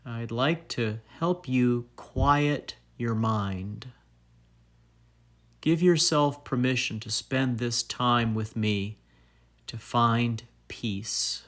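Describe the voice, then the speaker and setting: A man narrating a guided meditation in a calm, soothing, deliberate way, leaving long pauses. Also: mid-range voice. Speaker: meditation guide and fitness trainer.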